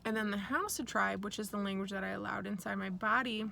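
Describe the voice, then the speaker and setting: A woman from Nigeria with American parents gives a reflective, personal spiritual talk in a deliberate way, pausing mid-phrase. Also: medium pitch, fairly even intonation, creaky, bright, forceful tone, slight background noise. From born and raised in Nigeria to American parents. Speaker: speaker sharing personal origin story.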